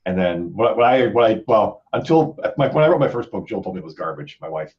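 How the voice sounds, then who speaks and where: Casting: male podcast host, very low voice, rambling chatty delivery, slightly muffled band-limited sound. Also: expressive, fast talker. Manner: rambling, conversational, repeats words as he thinks. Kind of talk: casual podcast panel chat.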